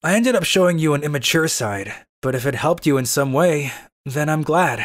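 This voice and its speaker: A man's voice: on the high side, expressive, normal speed, slight background noise, natural voice. A man voicing a self-deprecating young office worker, narrating and acting out his own manga story. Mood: reflective. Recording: music under the voice.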